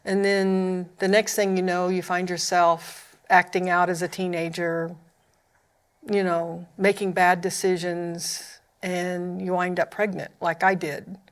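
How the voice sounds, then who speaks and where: Oklahoma lady telling her story, earnest and open, kinda low voice. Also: little pitch movement, unhurried, clear, resonant tone. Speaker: ministry founder, podcast guest. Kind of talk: personal testimony in a panel chat.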